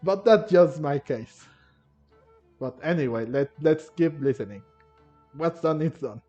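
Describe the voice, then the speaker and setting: A man giving casual gameplay commentary, halting and often repeating or rephrasing himself. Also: a little high, animated, dark tone. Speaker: gamer narrating a playthrough. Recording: muffled lo-fi mic, game music behind. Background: video game music.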